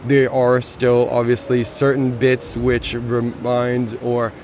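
A man chats in a relaxed, rambling way about what he has seen at a motor show. His voice sits at an ordinary middle pitch. A faint hum of the show floor runs under his voice. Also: takes it slowly. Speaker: car show reviewer. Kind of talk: casual walkaround chat. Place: busy motor show hall.

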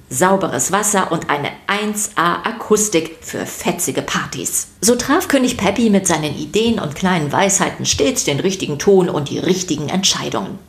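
A woman reading scripted children's story narration in an expressive, playful way. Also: fairly low pitch.

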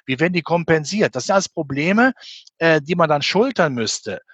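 Husky, higher-pitched man arguing emphatically. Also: expressive, normal speed, fairly bright tone, no room sound, muffled recording. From Rhineland, western Germany near the Belgian and Dutch border. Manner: emphatic, argumentative, full of rhetorical questions. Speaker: interview guest commenting on economics and finance. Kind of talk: animated spoken opinion in an interview.

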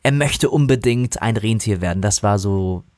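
A man who works as a dubbing actor, talking casually and thoughtfully about his craft with many pauses and restarts. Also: a little mellow, no room sound, studio quality. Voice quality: relatively high voice. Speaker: voice actor guest. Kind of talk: casual interview talk.

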